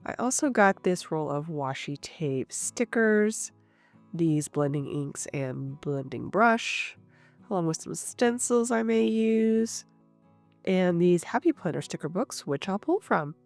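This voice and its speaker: A woman with a cold, sounding nasal and a little rough, calmly narrating her craft session as the host of her channel. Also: on the low side, takes it slowly. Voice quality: slightly rough. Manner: calm, explanatory, thinking aloud. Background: soft guitar music.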